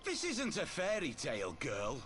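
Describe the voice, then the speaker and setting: An actor playing a gruff, solemn and fervent male resistance fighter in a video game cutscene. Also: on the high side, huge pitch swings, a little slow, fairly bright tone, faint music behind, exaggerated voice. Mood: angry, defiant.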